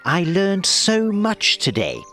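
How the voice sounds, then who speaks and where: A man's voice: high voice, huge pitch swings, slow pace, sharp tone, soft background music, pitched above the performer's own voice, full character voice. A man voicing a curious young male axolotl in a children's storybook, eager and full of wonder. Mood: amazed, curious. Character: young, curious, wide-eyed male axolotl, easily amazed.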